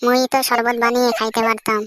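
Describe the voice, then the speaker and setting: A woman's voice: fairly high pitch, completely flat delivery, quick pace, clear, resonant tone, no echo, lightly acted. A woman voicing a cheeky cartoon schoolboy who dreads his father, speaking slangy regional Bangladeshi Bengali with exaggerated complaints. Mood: nervous. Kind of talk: comic cartoon dubbing. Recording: loud music behind the voice. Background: loud music.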